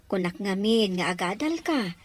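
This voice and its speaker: A woman's voice: mid-range voice, lively intonation, moderate pace, close to an everyday voice. Nagging, concerned Filipino mother, acted by a woman. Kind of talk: Ilocano audio drama acting.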